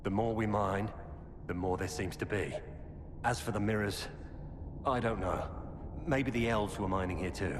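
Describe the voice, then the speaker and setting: An actor playing a weary, earnest former knight turned defector, giving grave, urgent warnings in a video game. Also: male voice, on the low side, normal speed, long pauses, natural voice. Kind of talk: dramatic video game dialogue. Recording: cavernous reverb with loud background noise.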